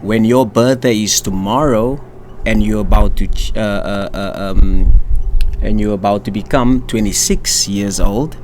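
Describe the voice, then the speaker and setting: Man, lowish voice, emphatic coaching talk, repeats phrases, faint hiss behind. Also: measured pace, darkish tone. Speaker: manifestation coach, co-host. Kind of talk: casual coaching chat.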